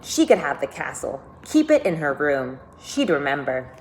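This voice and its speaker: A woman teacher reading a children's novel aloud to her class, speaking deliberately and pausing to think aloud with questions. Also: low voice, sing-song, poor audio. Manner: deliberate, questioning, stressing key words for young listeners.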